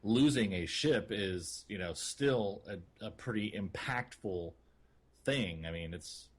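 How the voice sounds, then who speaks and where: A man co-hosting a gaming podcast in a casual, rambling way, with a somewhat husky voice. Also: on the low side, slow pace. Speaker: podcast co-host.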